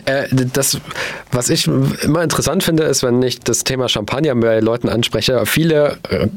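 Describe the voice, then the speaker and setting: A male radio host chats with a guest in a relaxed, easygoing way. His voice sits at an ordinary pitch and is recorded close and dry in a studio. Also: normal speed, no echo. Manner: casual, chatty, laughs often. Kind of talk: radio interview.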